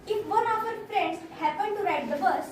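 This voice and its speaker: A young Indian-accented woman narrates a scripted story for a school play. The voice is extremely high and sounds far from the mic, in a heavily echoing room with clear background noise. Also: brisk. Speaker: student narrator.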